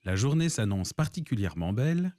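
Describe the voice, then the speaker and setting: A man narrating a cartoon in a scripted, gently ironic storytelling manner. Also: mid-range voice, very animated, a little fast. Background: music.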